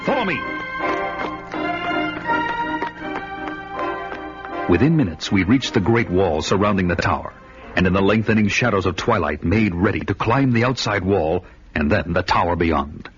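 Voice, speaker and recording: A man's voice: low voice, lively intonation, a little fast. An actor playing a grim, bold barbarian warrior who tells his vengeance quest in a solemn, archaic, theatrical way for a fantasy radio drama. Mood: eager. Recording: dull radio recording with loud music.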